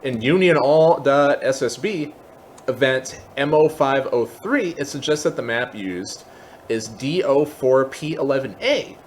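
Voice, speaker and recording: A man hosting a software tutorial, explaining step by step in a methodical way. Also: medium pitch, lively intonation, unhurried, slight background noise. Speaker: tutorial host.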